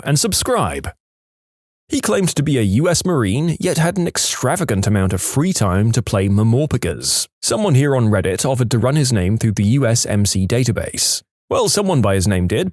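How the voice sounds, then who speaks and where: A man's voice: medium pitch, darkish tone, no room sound, clean studio sound. A man narrating read-aloud online stories in a scripted storytime style, as the host of a channel.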